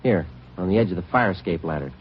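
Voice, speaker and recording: An actor playing a terse police detective who narrates a 1950s radio drama in a flat, matter-of-fact way. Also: male voice, sits low, quick pace, slight background noise. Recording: muffled vintage radio broadcast with music.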